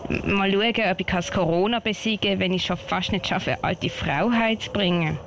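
A woman's voice: mid-range voice, normal speed, close to an everyday voice. A woman playing a young, earnest girl scout in an amateur radio play, chatting with a Swiss German accent. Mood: relieved, hopeful. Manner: chatty, thinking aloud. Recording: slightly noisy amateur recording.

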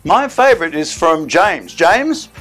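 A man's voice: on the high side, very animated, a little slow, a bit bright, faint music behind. A man hosting a film-night special, chatty and enthusiastic, with playful asides. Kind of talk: casual upbeat presenting.

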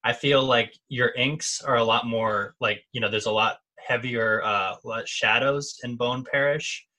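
A man's voice: mid-range voice, steady tone, a little slow, sharp tone. A man co-hosting a comics podcast, asking long conversational questions with frequent restarts. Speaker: podcast co-host. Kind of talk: casual interview questions.

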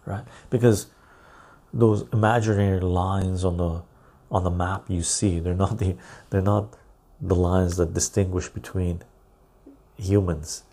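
A casual livestream host whose first language is Armenian, chatting with viewers and reading their comments aloud. His voice is dark and mellow, with a husky, gravelly grain. He rambles into asides and chuckles often. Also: low-pitched, fairly even intonation, measured pace, pauses often. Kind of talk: casual live-stream chat.

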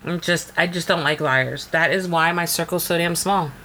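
A woman's voice: fairly low pitch, normal speed, pushed and bright, a little hiss or hum. A woman co-hosting a podcast in casual chat, blunt and outspoken, swearing casually. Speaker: podcast co-host.

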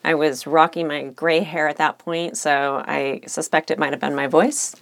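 A woman lawyer hosting a solo podcast, speaking in a reflective, measured, candid way with occasional vocal fry and uptalk. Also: sits low, steady tone, no room sound.

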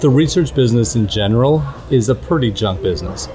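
A middle-aged man from Canada, a guest ethnographer speaking in a measured, reflective way with frequent restarts. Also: medium pitch, animated. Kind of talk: conversational interview.

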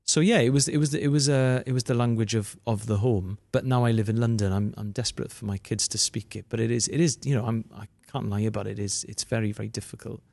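A man's voice: fairly low pitch, steady tone, quick pace, creaky, few pauses, darkish tone, no echo. A Welsh man who grew up speaking Welsh, talking as a comedian guest in an interview, chatty with lots of restarts and asides. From Wales. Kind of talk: conversational interview answers.

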